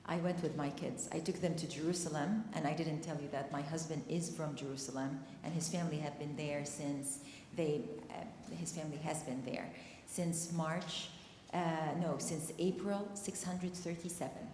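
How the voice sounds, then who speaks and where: A woman's voice: low-pitched, fairly even intonation, normal speed, a little mellow. A woman from Palestine telling a personal story at a community discussion, emotional and close to tears. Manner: emotional, near tears, earnest. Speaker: panel speaker. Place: church hall. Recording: echoey hall, faint noise.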